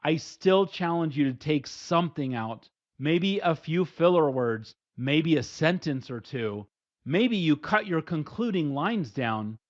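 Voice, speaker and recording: Middle-aged male instructor, steady and plain, a bit high-pitched, with muffled lo-fi sound. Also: unhurried. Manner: steady, plain, numbered advice. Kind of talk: scripted instructional talk. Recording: dull lo-fi recording.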